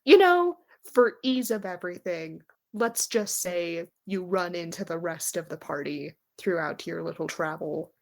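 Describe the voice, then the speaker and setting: A woman's voice: lowish voice, animated, unhurried, few pauses. A woman running a tabletop game as game master, narrating in an informal, easygoing way.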